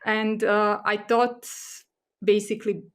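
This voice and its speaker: A woman's voice: mid-range voice, flat delivery, slow, clean tone. A woman with a Croatian accent speaking as a guest expert in a conversational interview, explaining things in a hesitant way. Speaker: guest expert, assistant professor.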